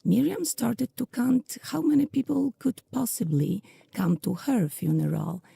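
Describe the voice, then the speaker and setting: A woman narrating an audio drama as a storyteller, with a soft, very husky and creaky voice. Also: a little high, a little slow, no echo.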